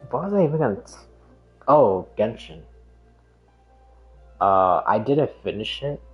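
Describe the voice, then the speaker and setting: A man's voice: medium pitch, highs cut off. A man chatting casually as a game streamer, rambling and hesitant, often trailing off and drifting onto tangents. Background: background music.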